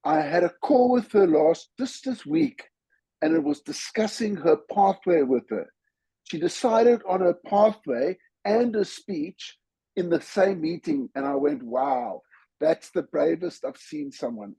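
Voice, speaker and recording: Slow, husky man with a lively pitch. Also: dry recording, low-quality recording. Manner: enthusiastic, admiring. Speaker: speech evaluator. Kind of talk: meeting remarks.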